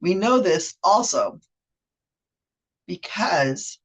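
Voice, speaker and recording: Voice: medium pitch, animated, very slow, slightly rough. A Wikipedia editor with a cold giving a chatty, rambling walkthrough of pages shared on screen, often correcting themselves mid-sentence. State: has a cold. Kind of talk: casual screen-share explainer.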